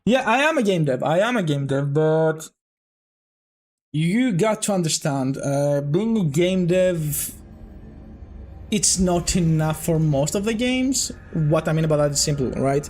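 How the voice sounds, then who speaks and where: A man's voice: fairly high pitch, expressive, a little slow, a little mellow, soft background music. A man with a Greek accent tinged with British, a game developer chatting casually with viewers while playing, rambling and correcting himself often. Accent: Greek, slightly British-tinged. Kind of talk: casual gameplay chat with viewers.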